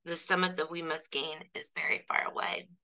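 A woman voicing a calm, wise male spiritual teacher who speaks gently and patiently. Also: sits low, normal speed, gravelly, full character voice. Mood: urging.

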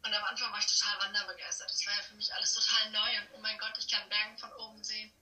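A woman's voice: medium pitch, level tone, very fast, sharp tone. A teenage young woman from Germany chatting casually as an exchange student being interviewed, effusive and self-deprecating, over a remote call. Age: teens. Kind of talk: casual chat. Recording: echoey, distant phone or video call.

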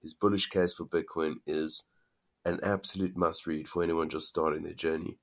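Upbeat deep-voiced podcast host, sniffly cold. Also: male voice, little pitch movement, normal speed, a bit bright. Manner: upbeat, enthusiastic. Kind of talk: podcast interview.

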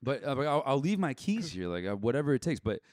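A man's voice: mid-range voice, very animated, fast talker, darkish tone, dry recording, studio quality. A young man talking casually and candidly as a podcast guest, blunt and given to swearing, acting out bits of past conversations as he goes. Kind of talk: casual personal chat.

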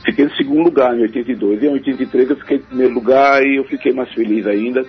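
A man's voice: mid-range voice, fairly even intonation, quick pace, husky. A man, a forró singer guest answering in a radio interview in a rambling, hesitant way full of fillers. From Northeast Brazil. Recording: dull radio broadcast, faint music.

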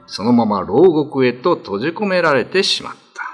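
A man's voice: mid-range voice, big pitch swings, normal speed, over music. A man reading scripted narration for a classic ghost story radio drama.

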